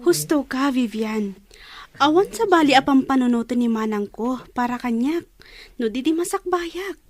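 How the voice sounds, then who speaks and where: A woman's voice: high voice, animated, normal speed. A woman playing a grateful, dutiful young student and younger sister in an audio drama, earnest and agreeable.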